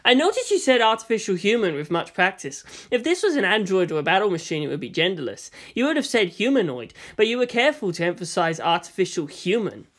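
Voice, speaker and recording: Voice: mid-range voice, big pitch swings, fast, few pauses, a bit bright. A narrator voicing a guarded, challenging teenage boy with a pointed manner in a read story. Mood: probing. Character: guarded, sharp-tongued teenage boy, defiant toward his father.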